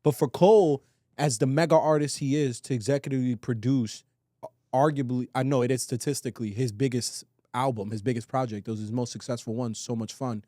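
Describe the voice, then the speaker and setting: A man with a medium-pitched voice talks casually on a podcast. He gives his opinions in slangy, emphatic phrases with some swearing. The sound is dry, with very little room in it. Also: steady tone, brisk, dark tone, dry recording. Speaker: podcast co-host.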